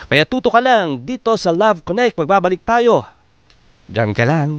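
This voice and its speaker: A man's voice: high-pitched, sing-song, moderate pace, no echo, muffled recording. A Filipino man hosting a radio advice show, talking conversationally and coaxingly straight to his listeners. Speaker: radio host of late-night relationship advice show. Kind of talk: conversational live radio talk in Tagalog-English.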